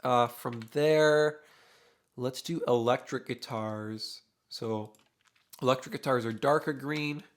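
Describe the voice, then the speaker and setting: A man's voice: medium pitch, takes it slowly. A young man, a mixing engineer, talking through a studio tutorial in a casual, thinking-aloud way, his voice a little worn. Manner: relaxed, thinking aloud with many uhs while working. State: losing his voice. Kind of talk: casual screen-recorded tutorial. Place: home studio with drums behind.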